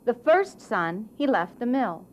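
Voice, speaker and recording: A woman narrating a children's story in a deliberate, expressive way with dramatic phrasing. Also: a little high, a little hiss or hum.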